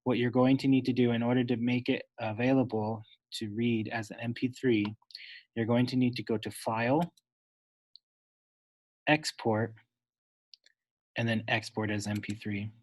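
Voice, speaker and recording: A man giving an unscripted, instructional step-by-step software tutorial, talking wordily and correcting himself as he goes. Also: medium pitch, little pitch movement, normal speed, pauses often. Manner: instructional, wordy, with self-corrections.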